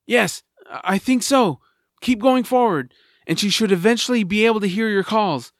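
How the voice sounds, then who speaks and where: A man's voice: high voice, normal speed, dry recording, clean studio sound. A man playing an earnest, anxious young hero in an audio drama, wisecracking and thinking aloud in a slightly jittery way. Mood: urgent, anxious.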